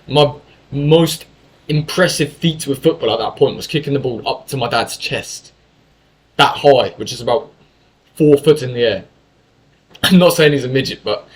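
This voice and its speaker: A young man from England telling a story in a casual monologue, talkative and full of swearing and self-corrections. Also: medium pitch. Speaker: YouTuber.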